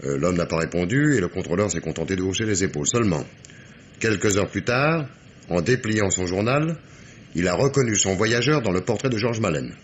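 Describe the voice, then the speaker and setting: An actor playing a sardonic, dogged police inspector in a French radio mystery play, with ironic, emphatic diction. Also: male voice, deep voice, expressive, a little fast, a little hiss or hum. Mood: deferential, reporting.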